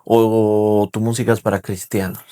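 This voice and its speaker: A man's voice: fairly low pitch, flat delivery, normal speed, mellow, clear, resonant tone, no echo, clean studio sound. A man hosting a podcast, asking casual interview questions and attentively dropping in short acknowledgements. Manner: attentive, frequent short backchannels.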